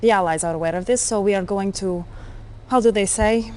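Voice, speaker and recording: A woman plays a commanding Soviet intelligence officer in a game cutscene. Her voice sits at a medium pitch, with a cold, clipped, controlled delivery. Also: a little fast, a little mellow, lightly acted. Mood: commanding, calculating. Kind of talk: video game cutscene acting.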